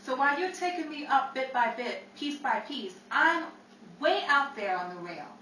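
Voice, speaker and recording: Woman playing a brassy high-voiced ambitious showgirl. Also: expressive, moderate pace, bright, forceful tone. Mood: driven, impatient. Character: ambitious, tough young Chicago showgirl, defiant and brash. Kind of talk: 1930s old-time radio drama acting. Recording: roomy, distant mic with faint noise.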